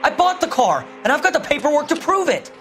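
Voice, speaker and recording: An indignant american crook suspect, high pitched n very up and down, protesting, music behind. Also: male voice, sing-song, fast, pushed and bright, full character voice. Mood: defensive. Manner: protesting, defensive. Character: indignant, cornered male car-theft suspect, defensive. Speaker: voice actor in a detective game. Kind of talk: dramatic game cutscene acting.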